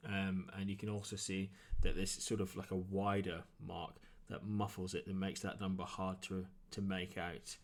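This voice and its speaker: A man narrating a tabletop role-playing game as game master, describing things in a halting, improvised, conversational way. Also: deep voice, flat delivery.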